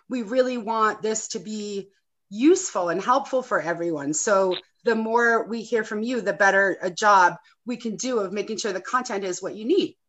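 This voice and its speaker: Woman hosting an online meeting, higher voice. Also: fairly bright tone, dull audio. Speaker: event host. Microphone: video call.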